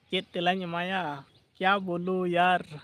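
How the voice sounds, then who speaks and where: A man's voice: sits high, fairly bright tone. A man hosting a casual livestream, chatting, reading out viewer comments and laughing often. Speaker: livestream host.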